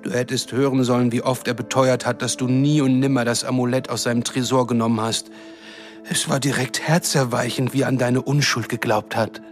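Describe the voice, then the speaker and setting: An actor playing a cruel, condescending shapeshifter villain in a radio drama, taunting and threatening in a smooth-talking way. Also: male voice, medium pitch, level tone, a little fast, soft background music, close to an everyday voice. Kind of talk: German radio drama acting.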